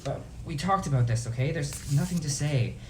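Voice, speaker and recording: A woman's voice: low voice, a little fast, darkish tone, slight background noise, natural voice, low-quality recording. A woman playing an exasperated, sardonic young male drive-through worker in a comedy script reading. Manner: sardonic, weary. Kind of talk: script table read of a comedy.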